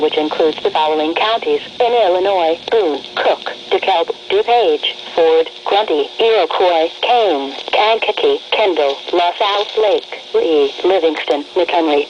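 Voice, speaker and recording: A man's synthetic voice giving a formal, impersonal scripted severe weather bulletin over the radio. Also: high-pitched. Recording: dull weather radio broadcast with hiss.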